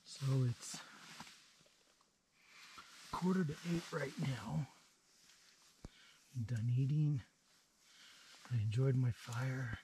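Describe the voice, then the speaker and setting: A man in his thirties vlogging solo from a small tent while camping, talking slowly and sometimes dropping to a whisper. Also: mid-range voice, a little hiss or hum, low-quality recording. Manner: slow, relaxed, drops to a whisper at night. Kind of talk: casual vlog narration. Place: small tent outdoors in the mountains.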